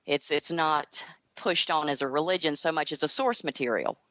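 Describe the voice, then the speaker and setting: A woman's voice: low voice, normal speed, fairly bright tone, dry recording, muffled recording. A middle-aged woman with a Southern American accent, answering interview questions conversationally as a guest author. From North Carolina, United States. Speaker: guest author and audio drama creator.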